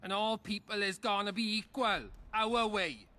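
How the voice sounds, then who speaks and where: An actor playing an angry, coarse peasant rabble-rouser in a video game, rustic and belligerent. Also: male voice, high-pitched, normal speed, pushed and bright. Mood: surly, defiant. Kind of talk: video game dialogue acting.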